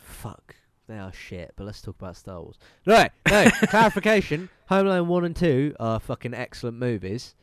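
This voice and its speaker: A man's voice: medium pitch, big pitch swings, moderate pace, few pauses, clean tone, dry recording. A man with a bunged-up, congested nose rambles through a casual podcast chat, swearing freely and laughing easily. He sounds close to the mic in a dry, dead room. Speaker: podcast co-host.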